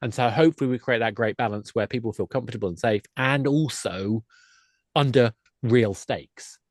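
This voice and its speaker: Male guest, mid-pitched, enthusiastic and wandering explainer in relaxed conversation, recorded dry. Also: normal speed, dry recording. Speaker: podcast guest, games expert.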